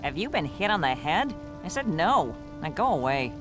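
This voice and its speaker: Crotchety old gnome inventress, gruff, scoffing, lively swooping pitch, fantasy game, faint music. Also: female voice, moderate pace. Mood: dismissive. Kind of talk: fantasy video game acting.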